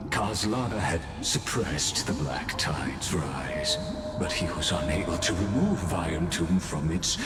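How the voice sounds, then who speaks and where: An ominous game villain with an extremely low voice. He speaks very slowly and pompously, savoring his words with condescension. His voice rings through a reverberant hall. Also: exaggerated voice. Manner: slow, deliberate, pompous, theatrical. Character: ominous, theatrical male villain, grandly philosophical and condescending. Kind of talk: dramatic game character monologue. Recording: echoey hall reverb, music underneath.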